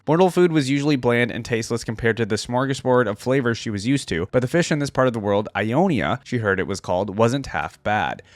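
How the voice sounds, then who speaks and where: A man reading a story aloud with expressive storytelling and reacting to it as a reaction video host. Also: lowish voice, dry recording.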